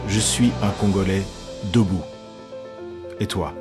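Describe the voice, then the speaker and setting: A man's voice: sits low, a little slow. A man narrating a political campaign advertisement in a solemn, declamatory manner. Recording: voice over music.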